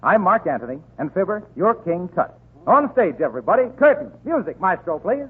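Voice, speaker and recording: An actor playing an eager, hammy friend with a hearty, theatrical manner in a 1930s radio comedy. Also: male voice, high voice, sing-song, brisk, husky, heavily acted. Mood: hearty, directing. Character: eager, hammy pitchman pal, amateur playwright. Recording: tinny, muffled 1930s radio recording.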